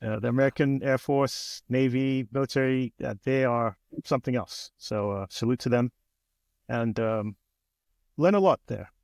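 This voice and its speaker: Gravelly-voiced man from Nigeria. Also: mid-range voice, normal speed, no echo. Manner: relaxed, chatty, frequent "um" and "you know". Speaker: guest, business owner. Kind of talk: casual interview storytelling.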